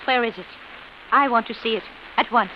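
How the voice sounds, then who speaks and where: An actress playing a prim, sceptical schoolteacher in an old radio drama, proper and reproving, faltering when unsettled. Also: female voice, on the high side, a little fast, close to an everyday voice. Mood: stern, indignant. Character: prim, sceptical schoolteacher woman, stern but uneasy. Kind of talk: golden-age radio drama acting. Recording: muffled, hissy old radio recording.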